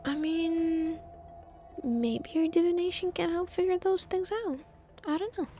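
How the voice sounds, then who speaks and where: A woman livestreamer chatting casually over a game, musing informally and hesitantly with pauses. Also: very high voice, steady tone, dark tone. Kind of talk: casual chat over gameplay. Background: game music.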